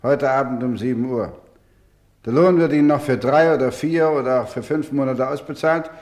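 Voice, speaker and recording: A man playing a weary, resolute orphanage director in a radio drama, speaking solemnly and deliberately, with fatherly gentleness. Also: medium pitch, close to an everyday voice. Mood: stern, insistent. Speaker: actor in a radio play. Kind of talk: dramatic German radio play acting.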